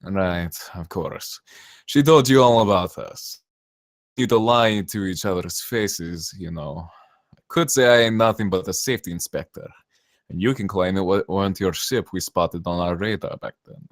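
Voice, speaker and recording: A man's voice: low-pitched, normal speed, dry recording, clean studio sound, close to an everyday voice. Man acting a brash, cynical zealot saboteur. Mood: sly, frank. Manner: profane, emphatic, drawling slangy rant. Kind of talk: screenplay table read, long monologue.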